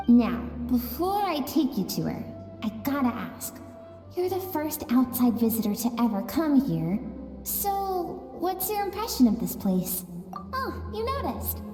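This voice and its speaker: A gentle, cryptic spirit woman from a video game story, guides the listener in a mellow, musing voice. She takes her time with each phrase. Her words carry through a long, echoing reverb. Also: high voice, exaggerated voice. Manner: soft, unhurried, musing. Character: gentle, cryptic female spirit guide dwelling in an inner world. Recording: reverberant game audio with music.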